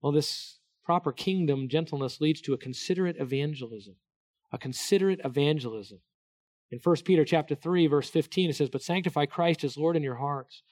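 A middle-aged man preaching a sermon, earnest, dropping to a hush and then rising to emphatic peaks, with many restarts. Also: fairly high pitch. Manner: earnest, wide swings between soft hushed and raised emphatic delivery; many restarts and repeated words. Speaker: pastor.

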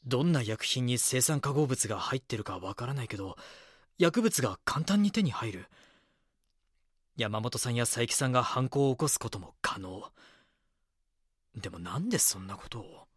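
An actor playing a young magazine reporter in an audio drama, polite, earnest and inquisitive. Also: male voice, medium pitch, expressive, normal speed, husky, no echo, studio quality. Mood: earnest, pondering. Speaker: magazine reporter and first-person narrator. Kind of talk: Japanese audio drama dialogue and narration.

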